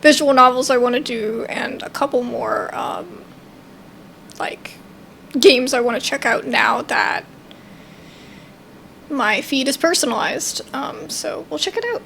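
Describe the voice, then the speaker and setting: A woman's voice: sits high, measured pace, gravelly, long pauses, fairly bright tone, slight background noise. A young adult woman hosting a gaming video with casual, chatty commentary, thinking aloud in an informal way. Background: faint game music.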